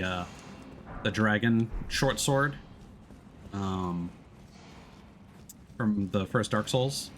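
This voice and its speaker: A man giving casual live commentary as he plays a video game, thinking aloud in a halting way. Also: on the low side, fairly bright tone, slight background noise. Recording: game music behind the voice. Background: game music.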